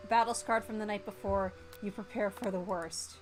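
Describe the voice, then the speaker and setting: A woman streamer reading a visual novel aloud in a theatrical, expressive way. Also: mid-range voice, normal speed, faint music behind.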